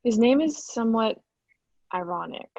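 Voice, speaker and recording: Woman acting as flighty young schemer, chatty, casual, higher voice, dry and slightly dull sound. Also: animated, moderate pace, vocal fry, a little mellow, dry recording. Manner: casual, conversational. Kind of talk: virtual screenplay table read. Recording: dull, dry remote call audio.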